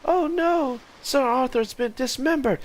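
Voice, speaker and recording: A man putting on a squeaky excitable little companion. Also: a little slow. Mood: eager. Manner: reading game dialogue aloud in a raised, eager voice. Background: game music.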